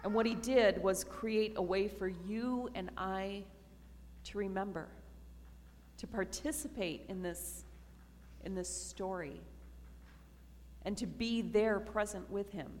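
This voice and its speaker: A woman pastor giving a slow, earnest communion sermon to her congregation in a church. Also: medium pitch, darkish tone, a little hiss or hum. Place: church sanctuary. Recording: reverberant church hall.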